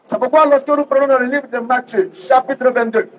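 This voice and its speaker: Guy preaching islam, really high voice, teaching hard and repeating lines, muffled lo-fi audio. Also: fairly even intonation, a little fast. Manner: emphatic, didactic, repeats readings phrase by phrase. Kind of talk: public religious debate preaching. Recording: dull, lo-fi sound.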